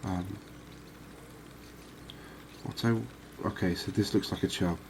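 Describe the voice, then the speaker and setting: A man giving quiet, cozy ASMR commentary on a game, unhurried and thinking aloud. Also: low-pitched, steady tone, a little hiss or hum, poor audio. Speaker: gaming video host.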